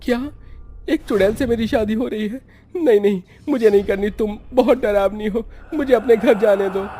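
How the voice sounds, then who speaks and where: A man's voice: high-pitched, moderate pace, dark tone. A man voicing a frightened young groom who protests and is prone to stammering, in a horror-comedy cartoon. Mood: panicked. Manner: stammering when scared.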